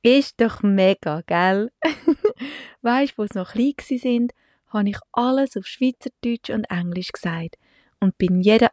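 A woman's voice: mid-range voice, a little mellow, no echo, clean studio sound. A Swiss woman in her forties with a Swiss German accent, chatting casually to the camera as a mother and vlogger, laughing often and slipping in English words. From Switzerland.

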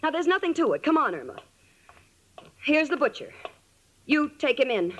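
An actress playing a sensible, exasperated young woman in an old-time radio comedy, wry and brisk in delivery. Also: high-pitched, very animated, fairly bright tone. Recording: old radio recording, faint noise.

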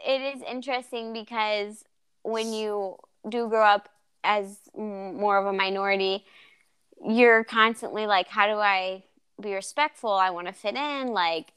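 A woman's voice: mid-range voice, unhurried, smooth. A woman co-hosting a casual podcast chat, from the Philippines, talking freely and laughing often. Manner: chatty, laughs often, lively storytelling. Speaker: podcast co-host.

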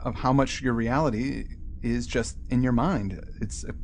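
A man with a medium-pitched voice co-hosts a casual book podcast. He explains ideas conversationally, as in a chat between two hosts. Some noise sits behind the voice. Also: steady tone, normal speed. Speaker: podcast co-host.

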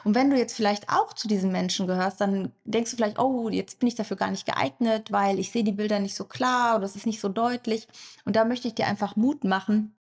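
A woman with a soft, breathy voice talks straight to the listener as a hypnosis coach. She explains in an easy, chatty flow and often slips into voicing the listener's own doubts and thoughts. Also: medium pitch, brisk. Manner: warm explanatory, addresses listener directly, acts out inner thoughts. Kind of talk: podcast monologue.

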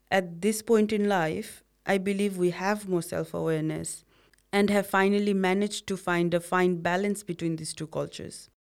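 A young woman from a Bhutanese refugee background who grew up in Nepal, speaking in a measured, reflective, read-aloud way about identity. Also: on the low side, creaky, a little mellow, smooth. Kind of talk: scripted conversation.

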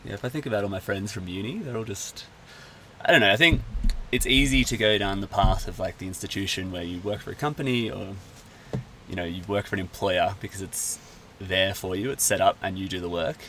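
A man from Australia, a guest in a casual chat, talking in a relaxed, rambling way. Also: low voice, fairly quick, a little hiss or hum.

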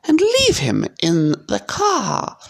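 A man's voice: very high voice, huge pitch swings, measured pace, creaky, voice pushed up, exaggerated voice. A man voicing a fussy, melodramatic middle-aged aunt in a storybook, snappy and doting. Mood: slow, grudging.

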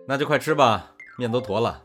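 A man's voice: mid-range voice, a bit bright. A man plays a caring but teasing young male roommate in an audio drama. The delivery is laid-back and mock-wise, in a middling register, with faint music underneath. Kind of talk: campus audio drama acting.

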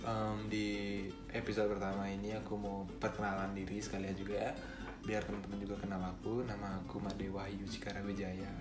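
A young man from Bali with a Balinese accent, hosting a casual solo podcast in a chatty, rambling way with fillers and self-corrections. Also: lowish voice. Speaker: podcast host. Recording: echoey room with guitar music behind.

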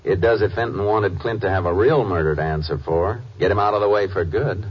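An actor playing a steady American Texas Ranger, questioning in a measured, laconic way, in 1950s radio drama style. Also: male voice, lowish voice, husky. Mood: thoughtful. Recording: muffled vintage radio recording.

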